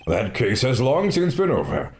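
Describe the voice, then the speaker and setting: A man's voice: mid-range voice, wildly swooping pitch, moderate pace, a little mellow, slight background noise. A man voicing a grandiose older male police chief who drawls his lines theatrically. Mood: pompous.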